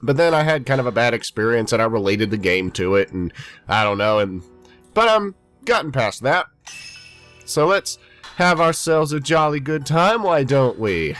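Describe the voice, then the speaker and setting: A young man in his twenties with a stuffy, congested voice rambles through let's-play commentary. His pitch bounces around animatedly as he chats. Faint game music sits underneath. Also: sing-song, moderate pace, a bit bright. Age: 20s. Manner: rambling, chatty, self-deprecating. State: stuffy from a head cold. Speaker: gaming YouTuber.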